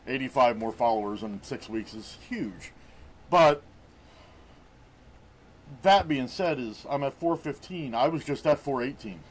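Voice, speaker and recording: A man's voice: mid-range voice, lively intonation, a little slow, pauses often. A man in his forties, a guest streamer on a casual podcast, talking in a crude, joking, rambling way full of restarts. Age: 40s.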